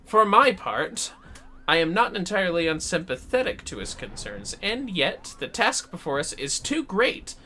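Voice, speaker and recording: A man's voice: high-pitched, very animated, normal speed, sharp tone, slight background noise, voice pushed up, full character voice. A man voicing a solemn, earnest forest mage from a fantasy game, reading dialogue aloud in a formal, archaic, theatrical manner. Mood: earnest, troubled. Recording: music behind the voice.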